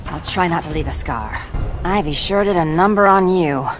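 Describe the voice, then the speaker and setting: A woman's voice: fairly low pitch, moderate pace, natural voice. A woman playing a tough, loyal antiheroine with a wry edge in a video game cutscene. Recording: music behind the voice.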